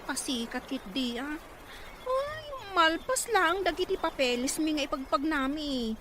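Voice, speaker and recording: A woman's voice: sits high, lively intonation, measured pace, a bit bright, a little hiss or hum. A woman acting an eager young graduate in an Ilocano drama, speaking with an Ilocano accent. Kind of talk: amateur Ilocano radio-style drama acting.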